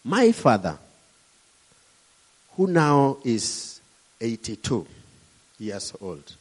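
A man's voice: medium pitch, sing-song, very slow, slightly rough, poor audio. A middle-aged man from Kenya with a Kenyan accent, preaching a sermon with emphatic, rising and falling delivery.

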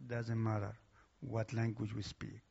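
An Egyptian-accented man speaks with earnest emphasis, his voice gravelly and husky. He addresses a church gathering in slightly broken English. The recording sounds muffled and lo-fi. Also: on the low side, completely flat delivery, unhurried, a little mellow. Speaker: guest speaker. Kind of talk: church testimony. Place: church hall.

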